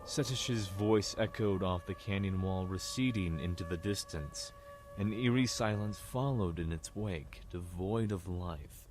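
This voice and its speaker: A man plays an Egyptian prince telling his own story in scripted audio drama narration. He is low-pitched, wry and reflective, with music clearly playing behind him. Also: unhurried. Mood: ominous, hushed. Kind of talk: scripted first-person narration.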